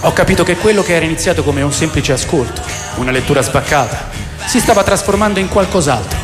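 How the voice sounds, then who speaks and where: An actor playing a solemn, reflective narrator in a scripted radio drama. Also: male voice, a little high, animated, fast, close to an everyday voice. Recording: echoey long reverb under clear music. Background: music.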